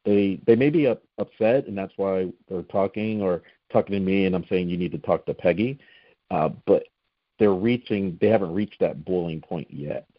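A man with a very low, deep voice asks long, thoughtful, winding questions as an interview host. The sound is dry and slightly dull, with little room. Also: moderate pace, no echo.

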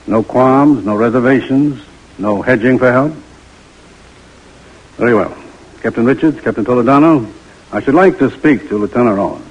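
An actor playing a stern, commanding army major, terse and dryly sardonic, in an old-time radio drama. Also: male voice, mid-range voice, moderate pace, long pauses, slight background noise, lightly acted. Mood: grave, commanding. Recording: muffled vintage radio recording.

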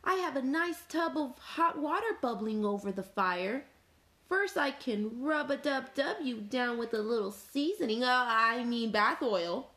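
A woman's voice: a little high, animated, a little slow, a bit bright, pitched above the performer's own voice. Sly, hungry big bad wolf, voiced by a woman. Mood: sly, wheedling.

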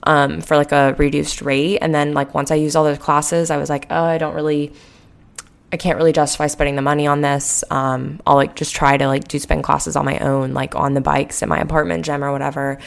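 A young woman in her twenties hosting a casual solo podcast, hoarse and sleepy after a late night, rambling with lots of "like" and "um". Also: deep voice, little pitch movement, a little fast. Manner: rambling, heavy use of "like" and "um". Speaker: podcast host.

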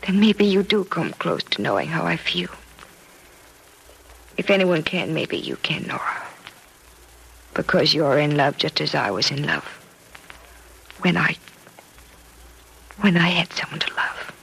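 An actress playing a grieving, bitter young hospital patient in an old radio drama, listless and clipped, on a vintage recording. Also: female voice, low-pitched, expressive, measured pace, vocal fry, pauses often, a bit bright, slightly rough, heavily acted, rough recording. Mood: grieving, hopeless. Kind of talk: 1940s radio drama acting.

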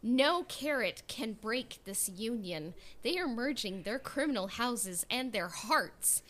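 A woman's voice: fairly high pitch, animated, takes it slowly, a bit bright. A woman co-hosting a podcast, chatting casually in a playful, opinionated way. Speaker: podcast co-host. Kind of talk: casual chat.

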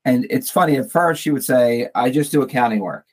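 A man's voice: medium pitch, expressive, brisk, a little mellow, breathy edge, rough recording. A man, a business owner, telling an amused work story in casual conversation, full of like and you know.

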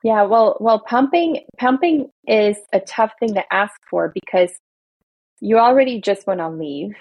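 Woman attorney guest, earnest explainer, breathy-husky tone, dry studio sound. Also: medium pitch, expressive, a little slow, dry recording, lo-fi sound. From Belgium. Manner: earnest, explanatory, speaks in long building lists. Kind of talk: casual podcast interview.

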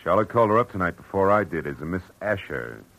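An actor playing a terse, wry private detective in the clipped, deadpan style of 1940s radio drama. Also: male voice, low voice, quick pace, exaggerated voice. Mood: matter-of-fact. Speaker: narrator and lead. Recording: noisy old radio broadcast recording.

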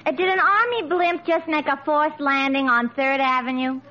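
An actress playing a dim, brassy, man-crazy young woman with a nasal, adenoidal voice in 1940s radio comedy. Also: high-pitched. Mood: wisecracking. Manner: slow, wisecracking. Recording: muffled old radio, loud studio audience.

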